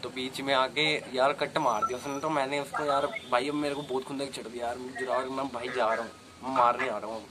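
A man's voice: mid-range voice, little pitch movement, a little fast, hardly any pauses, over a noisy crowd. A young man chatting casually as a vlogger, recorded outdoors on a camera mic. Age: young adult. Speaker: vlogger making prank calls. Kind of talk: casual chat. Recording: noisy amateur outdoor recording.

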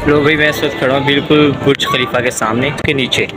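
A young man walks and talks straight to his viewers, chatty and excited, his voice sitting at an ordinary middle pitch. He holds a phone at arm's length outdoors in a busy plaza, with music playing clearly behind him. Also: quick pace. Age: young adult. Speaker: travel vlogger. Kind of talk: casual walking tour vlog. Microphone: handheld phone at arm's length.

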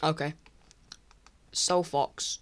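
A teenage boy from England ranking online creators in casual screen-recorded commentary, rambling and apologetic with many restarts, his voice croaky from recording late at night. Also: a little high, fairly even intonation, extremely slow, a bit bright. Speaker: YouTuber. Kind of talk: casual tier list commentary.

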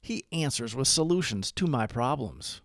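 A man narrating a scripted daily recovery reflection as a devotional read-aloud, with measured, emphatic phrasing. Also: medium pitch, animated, no echo, studio quality.

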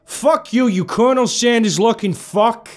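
Defiant, profane young man as a narrator plays him, low voice, sarcastic edge. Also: big pitch swings, unhurried, smooth, faint music behind. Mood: furious. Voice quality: loud and profane, shouting.

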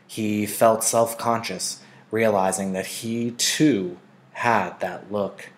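A man's voice: lowish voice, steady tone, slow pace. A man reading a novel aloud as a scripted audiobook-style narration, like a teacher reading to a class.

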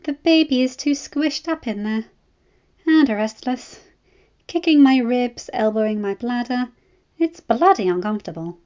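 A woman voicing a pregnant young noblewoman, sharp-tongued and fierce, with a high, heated scolding manner, read as a storybook. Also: animated, a little slow. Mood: teasing, grumbling. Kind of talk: storybook reading. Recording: slightly dull lo-fi recording.

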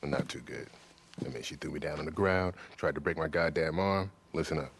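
An actor playing a laid-back, crude, joking camp buddy in a video game, casual and slangy. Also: male voice, a bass voice, brisk, husky. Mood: warning.

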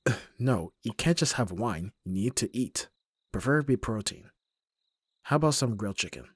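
A man playing an abrasive young bodyguard who is secretly soft, in an ASMR roleplay audio drama, with gruff, protective exasperation. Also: fairly low pitch, expressive, moderate pace, no room sound, clean studio sound. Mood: dry, exasperated.

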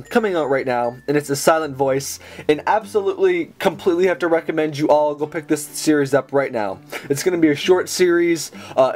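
A man's voice: fairly high pitch, a little mellow. A young man who is sick and has a sore throat, chatting casually with lots of fillers as he reviews his book haul indoors. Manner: chatty, enthusiastic, many fillers. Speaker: manga haul video host. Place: indoors at a table with books.

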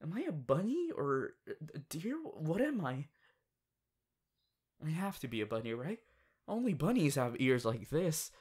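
Woman acting a soft, boyish, slow-talking bunny hero. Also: low voice, slightly rough, heavily acted. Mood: puzzled. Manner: hesitant, giggly, swinging from murmurs to excited exclamations. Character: affectionate, excitable young male anime hero turned bunny. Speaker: voice actor in ASMR roleplay. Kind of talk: ASMR audio roleplay, acted monologue.

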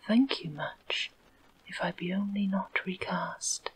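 A wistful old street lamp in a storybook, murmuring its thanks in a slow whisper, as a woman reads it. A faint hiss lies under the voice. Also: mid-range voice, lightly acted, rough recording.

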